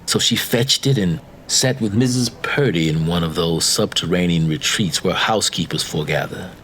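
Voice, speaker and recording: A man reading a classic story as narrator, measured and dramatic, often dropping into a hush. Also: sits low, slight background noise, rough recording.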